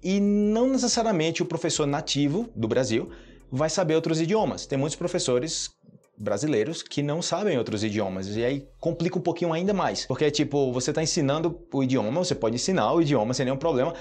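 A man's voice: a little high, animated, fast talker, a little mellow. Chatty Brazilian man teaching Portuguese. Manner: chatty, checks in with listeners often. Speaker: podcast host teaching Portuguese. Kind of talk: casual teaching chat. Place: indoors, hot room.

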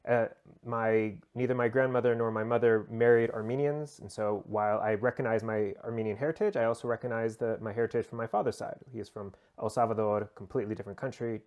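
A man's voice: on the low side, fairly even intonation, fast, few pauses, a little mellow, lo-fi sound. A man from the United States, a lawyer and guest, answering interview questions conversationally over a remote call, with frequent fillers and restarts. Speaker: guest, human rights lawyer.

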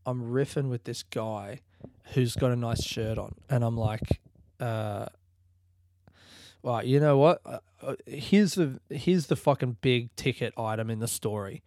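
A comedian talks loosely into a close, dry mic. His voice sits at an ordinary pitch for a man. He strings his stories together with fillers, swearing and bursts of laughter. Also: unhurried, a little mellow, no room sound. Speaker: comedian and podcast host. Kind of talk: rambling solo storytelling.

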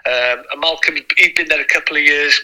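Bright-voiced Welsh man reminiscing. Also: a little high, fairly even intonation, fairly quick, poor audio. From North Wales. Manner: chatty, repeats himself, restarts sentences. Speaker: guest, former footballer. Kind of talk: casual reminiscing chat.

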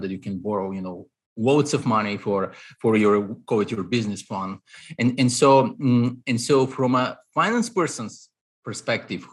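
A man's voice: mid-range voice, husky. A man in his thirties with a Bulgarian accent, an investor answering interview questions analytically, with many fillers and restarts. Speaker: guest, real estate investor.

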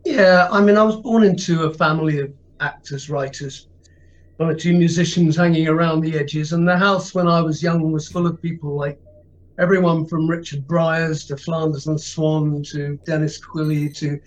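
A man's voice: fairly high pitch, fairly even intonation, moderate pace. Modest man on a dull remote line. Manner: modest, self-deprecating, chatty. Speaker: actor guest. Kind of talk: interview answers.

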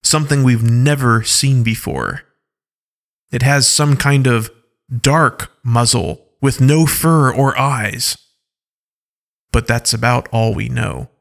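A man voicing a deliberate, measured wolf-tribe leader who explains carefully. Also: medium pitch, creaky, fairly bright tone.

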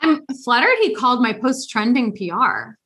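A woman hosting a casual podcast chat, talking in an animated, rambling way with many restarts. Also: a little high, normal speed, slight background noise. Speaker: podcast host.

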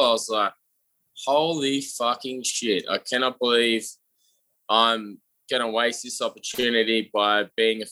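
A young Australian man, a podcast guest, telling his story in an upbeat, energetic way with frequent fillers. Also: medium pitch, low-quality recording. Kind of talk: casual interview talk.

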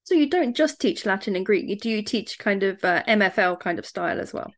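A woman's voice: mid-range voice, lively intonation, fairly quick. A woman hosting a podcast interview, enthusiastic and chatty. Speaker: podcast host.

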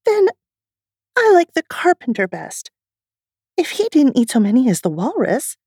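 A polite, earnest little girl from a storybook, played by a woman, speaks in a high, prim, childlike voice. The intonation swings widely and turns easily indignant. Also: wildly swooping pitch, a little slow, a little mellow, husky, dry recording, studio quality, exaggerated voice. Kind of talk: storybook character reading.

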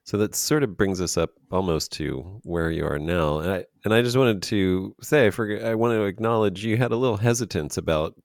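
Deep-voiced male podcast host. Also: brisk, few pauses, dry recording, studio quality. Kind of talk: casual podcast interview.

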